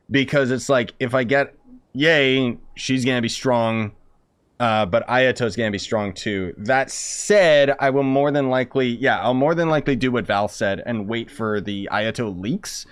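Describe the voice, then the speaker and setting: A man's voice: medium pitch, a bit bright, clean tone. A young man giving casual gameplay commentary in a rambling, slangy, often exasperated manner. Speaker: game streamer. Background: game music.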